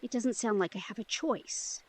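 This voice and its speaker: A woman playing an insecure, teasing, newly turned vampire in an intimate audio drama, earnest and hesitant, with a slightly breathy voice. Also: on the high side, moderate pace, close to an everyday voice. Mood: uneasy, confused.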